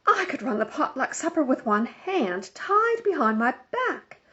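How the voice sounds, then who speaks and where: A woman's voice: sits high, sing-song, unhurried, pushed and bright, voice pushed up, full character voice. A woman voicing a practical, exasperated mother in a children's storybook, with a dry, put-upon manner. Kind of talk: children's storybook read-aloud. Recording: dull lo-fi home recording.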